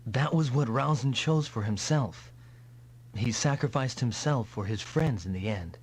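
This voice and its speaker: A man's voice: mid-range voice, a little slow, husky. A man playing a loyal, reassuring hero with earnest, dramatic delivery in an anime-style game dub. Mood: gentle, somber. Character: loyal, earnest heroic male comrade, reassuring.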